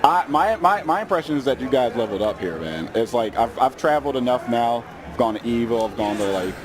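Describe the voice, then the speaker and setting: A man with a mid-pitched voice gives casual colour commentary at a live gaming event. His talk is laid-back and slangy, and a crowd is clearly heard behind him. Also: expressive, a little fast. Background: crowd at a gaming tournament.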